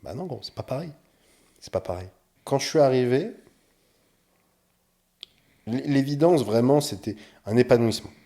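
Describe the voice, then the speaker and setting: A man talking as a podcast guest, animated and explaining his views with examples. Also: mid-range voice, normal speed, pauses often. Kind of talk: casual podcast chat.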